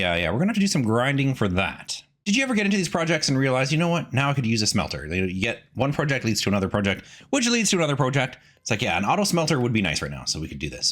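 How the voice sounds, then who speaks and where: Chatty gamer guy doing casual commentary, kinda low voice, really swings up and down. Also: fairly bright tone. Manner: chatty, rambling asides to viewers. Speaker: gaming video host.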